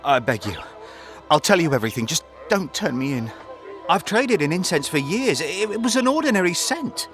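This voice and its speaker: An actor playing a slippery, cowardly merchant in a video game, ingratiating and defensive. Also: male voice, fairly high pitch, very animated, normal speed, fairly bright tone, faint music behind. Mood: pleading.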